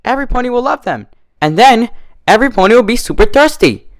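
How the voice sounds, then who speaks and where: An excitable young cartoon mare, acted out by a man, gushes in a high register. The delivery is exclaiming and very animated, full of party cheer. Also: quick pace, no room sound, clean studio sound, full character voice. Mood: bubbly, excited. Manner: gushing, exclaiming.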